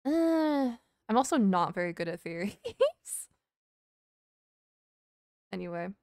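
A woman's voice: mid-range voice, very animated, takes it slowly, a little mellow, dry recording. Female game streamer, loose hesitant chatter, casual swearing, dry close sound. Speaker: live streamer. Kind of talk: casual chat, game playthrough commentary. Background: game music.

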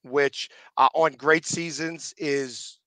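A man's voice: a little high, steady tone, slow, sharp tone, no echo. A middle-aged American man chatting casually as an interview guest, speaking into a headset. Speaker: guest, sports superfan. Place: room at home, on a video call.

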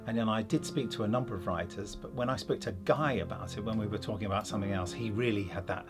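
A man's voice: fairly low pitch, fast. A man in his fifties, a director, talking casually in an interview. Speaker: audio drama director. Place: studio room, indoors. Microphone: lapel mic. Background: music.

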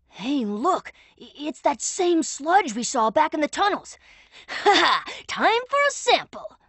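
A woman voicing an eager young boy sidekick in a video game, curious and excitable, recorded on a dry studio mic. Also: sits high, slow pace, dry recording, heavily acted. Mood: excited.

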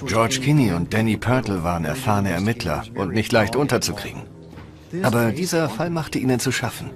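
A man voicing a measured, explanatory commentator in a crime documentary dub. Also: on the low side, animated, lightly acted. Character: male commentator recounting a murder investigation. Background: prominent music.

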